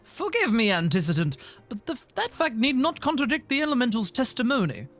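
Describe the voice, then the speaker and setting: An earnest young scholar lectures in stiff, formal phrasing, read aloud by a streamer. The delivery is measured and the pitch moves a lot, a little higher than the reader's usual voice. Faint game music plays underneath. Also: wildly swooping pitch.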